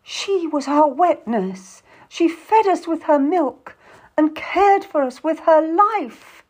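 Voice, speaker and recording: A woman's voice: a little high, sing-song, unhurried, pitched above the performer's own voice. A woman voicing a melodramatic, tearful young noblewoman who implores and schemes in an audiobook story. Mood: pleading.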